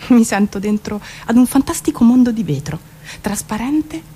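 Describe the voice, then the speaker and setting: A woman's voice: fairly high pitch, lively intonation, normal speed, warm tone, breathy edge. A woman giving a melancholic, introspective, theatrical spoken-word reading of poetic prose, as a stage performer.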